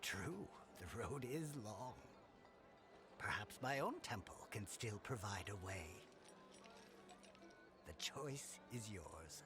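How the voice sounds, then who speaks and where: An actor playing a mysterious, calm old temple spirit guide who speaks cryptically in a fantasy game cinematic. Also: male voice, fairly low pitch, full character voice. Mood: serene, inviting. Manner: slow, cryptic, deliberate. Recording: music behind the voice.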